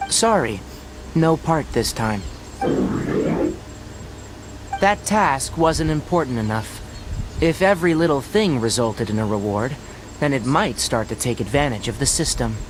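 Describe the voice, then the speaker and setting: A man voicing a bright young forest ranger in an anime game, articulate and instructive, with a patient, wry manner. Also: medium pitch, very animated, moderate pace, lightly acted. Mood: wry, reasonable. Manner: articulate, instructive, gently teasing. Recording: noisy game livestream capture.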